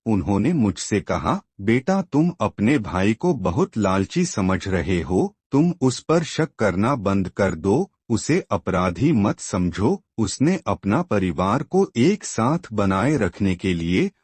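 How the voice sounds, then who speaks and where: A man acts an earnest, respectful younger relative in a cartoon moral tale. His voice is fairly low and slightly rough, with animated intonation at a slow pace. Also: no echo, full character voice. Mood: surprised, earnest. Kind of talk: Hindi moral-story cartoon dubbing.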